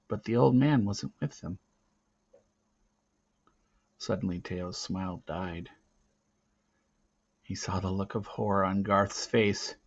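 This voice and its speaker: A man reading a story aloud as narrator, with a dramatic, expressive delivery. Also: lowish voice. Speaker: reader of a children's novel. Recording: dull, lo-fi recording.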